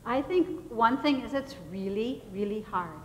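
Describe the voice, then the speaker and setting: Woman, farmer panelist, earnest and emphatic, slightly raised pitch, echoey hall. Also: lively intonation, a little slow, a little mellow. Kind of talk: panel discussion answer. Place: large hall, panel event. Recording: echoey hall with faint noise.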